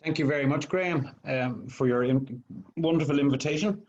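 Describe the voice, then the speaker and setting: A man's voice: a little high, moderate pace, darkish tone. A man with a Dublin Irish accent, a guest speaker opening his talk on a video call with many ums and restarts. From Ireland. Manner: hesitant, lots of ums and restarts. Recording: dull lo-fi video call audio.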